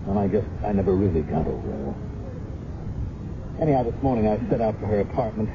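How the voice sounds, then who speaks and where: An actor playing a dogged, guilt-ridden police sergeant in an earnest, insistent 1970s radio drama style. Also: male voice, fairly low pitch, fast talker, close to an everyday voice. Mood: wounded, brooding. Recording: muffled, noisy 1970s radio recording.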